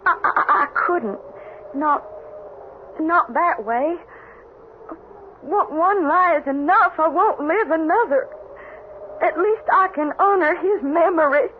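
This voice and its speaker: A young frontier widow in a radio melodrama, proud and full of sorrow. Her high voice is earnest and halting. It sounds tinny, with faint noise behind it. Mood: sorrowful. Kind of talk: 1970s radio melodrama acting.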